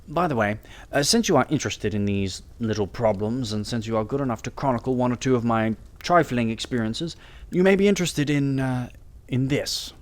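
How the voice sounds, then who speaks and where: A man's voice: mid-range voice, a little fast, natural voice. A man playing a brilliant, self-assured Victorian detective in an audiobook, lecturing in a precise, theatrical way. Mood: pointed, lecturing. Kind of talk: audiobook character acting.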